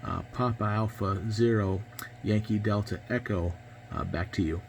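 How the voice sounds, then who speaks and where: A man's voice: fairly low pitch, normal speed, background noise. A man in his twenties with an American accent, talking casually over ham radio in a hesitant, polite manner. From United States. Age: 20s. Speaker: amateur radio operator.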